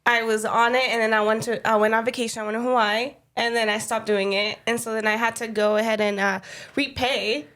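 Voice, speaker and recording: A woman's voice: fairly high pitch, fairly even intonation, a little fast, a bit bright. A woman with a Mexican accent hosting a podcast in a studio, chatting casually and laughing often. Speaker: podcast host. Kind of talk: casual chat.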